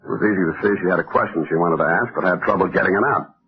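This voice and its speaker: A man's voice: deep voice, very fast, close to an everyday voice. An actor playing a sardonic, world-weary male private detective narrating a hard-boiled 1940s radio drama. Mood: somber, reflective. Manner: wry deadpan, hard-boiled. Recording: tinny phone-like vintage radio recording.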